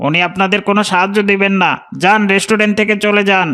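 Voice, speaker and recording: A man playing a snobbish, haughty restaurant owner in a cartoon, a figure who talks down to the poor and flatters the important. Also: high-pitched, quick pace, clear, resonant tone. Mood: scornful, irritated. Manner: haughty, scolding. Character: snobbish, rude male restaurant owner, fawning to important customers. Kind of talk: cartoon voice acting.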